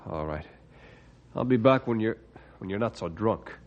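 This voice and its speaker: A man's voice: lowish voice, lively intonation, moderate pace, lightly acted. A man playing an earnest friend in an old-time radio drama. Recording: muffled, band-limited vintage radio recording.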